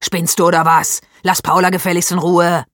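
A woman reading aloud as a cheeky, strong schoolboy who talks in a loud, brash way. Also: lowish voice, measured pace, slightly rough, no echo, studio quality.

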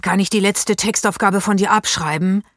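A woman reading aloud as a cheeky, strong schoolboy who talks in a loud, brash way. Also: mid-range voice, steady tone, normal speed, no room sound, studio quality, lightly acted. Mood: casual.

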